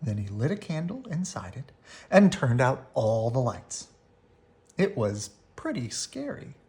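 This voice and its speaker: A woman narrates a children's storybook in a low voice. Her delivery is expressive and animated, and she reads at a slow, unhurried pace. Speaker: storybook reader.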